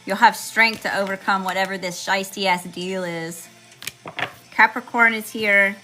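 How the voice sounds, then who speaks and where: A woman reading tarot cards for her viewers in a chatty, slangy way, calling them y'all and listing card meanings one word at a time, with her voice going hoarse. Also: medium pitch, steady tone, a little slow, bright, forceful tone, soft background music. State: losing her voice. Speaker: tarot reader channeling messages. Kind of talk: casual spiritual reading to viewers.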